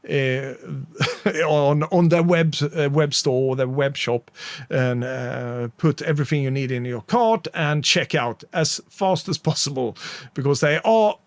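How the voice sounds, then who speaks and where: Swedish-accented man, chatty vlogger, fairly high voice, dry sound. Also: no room sound. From Sweden. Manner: chatty, rambling with frequent fillers. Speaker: record collecting vlogger. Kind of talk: casual chat to camera.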